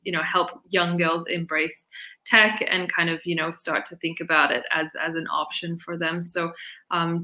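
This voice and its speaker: A woman hosting an online panel over a video call, with a bright, forward tone on a lowish voice. She talks loosely and chattily, leaning on "um" and "you know". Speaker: panel moderator.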